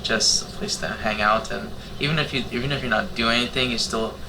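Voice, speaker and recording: A man's voice: medium pitch, steady tone, brisk, vocal fry, background noise. A nineteen-year-old man, talking casually and a little hesitantly on a panel. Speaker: youth volunteer organizer.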